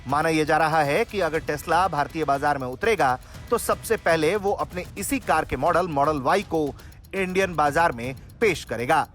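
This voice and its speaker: A man's voice: a little high, normal speed, a bit bright. A man narrating a scripted news report as a reporter. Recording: music bed under the voice.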